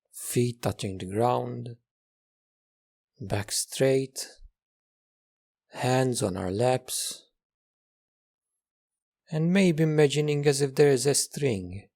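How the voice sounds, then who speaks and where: Slow, gravelly man guiding meditation. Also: mid-range voice. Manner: calm, measured, repeats phrases with long pauses. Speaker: meditation teacher leading a guided session. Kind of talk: guided meditation, slow gentle instruction.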